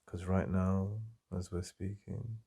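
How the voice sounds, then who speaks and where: Soft, intimate English boyfriend voice, very low, heavy fry, halting delivery. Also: male voice, little pitch movement. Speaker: ASMR roleplay host. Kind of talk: boyfriend ASMR roleplay.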